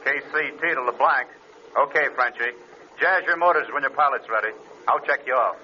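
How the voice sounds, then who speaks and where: An actor playing a no-nonsense airfield radio dispatcher, clipped and businesslike, in old-time radio drama style. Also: male voice, mid-range voice, fairly quick, sharp tone. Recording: muffled, hissy old radio recording.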